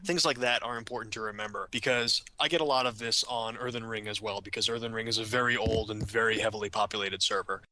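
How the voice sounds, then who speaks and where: A man's voice: lowish voice, steady tone, fast, hardly any pauses, bright, forceful tone, slight background noise, low-quality recording. A man on a podcast panel giving casual advice in an emphatic, conversational way.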